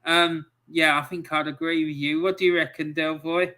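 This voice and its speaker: Man hosting a podcast, chatty and easygoing, bright voice, fairly high pitch. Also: flat delivery, moderate pace. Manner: chatty, laughs often, filler-heavy. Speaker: podcast host. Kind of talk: casual interview, reading viewer comments.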